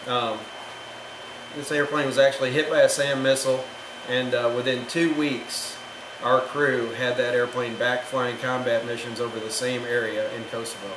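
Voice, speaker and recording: A man's voice: mid-range voice, flat delivery, moderate pace. An American man in his thirties, a military aircraft maintainer, giving informal, plain-spoken explanations in an interview. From Rochester, New York, United States. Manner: plain-spoken, explanatory, frequent you-knows. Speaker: military aircraft maintainer, demo team chief. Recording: echoey room with clear background noise.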